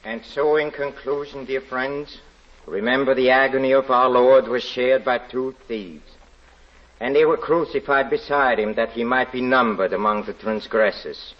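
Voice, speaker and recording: An actor playing a cunning, self-satisfied criminal who poses as a saintly parson, with halting theatrical delivery in 1940s radio drama style. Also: male voice, mid-range voice, steady tone, lightly acted. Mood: pious, solemn. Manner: theatrical, halting, repeats words. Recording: dull 1940s radio recording with music.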